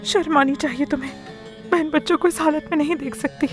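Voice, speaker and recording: A woman's voice: high voice, steady tone, fast talker, soft background music, lightly acted. A woman playing an anguished mother in a dubbed drama, pleading tearfully for her son. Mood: indignant, distressed. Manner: tearful, imploring.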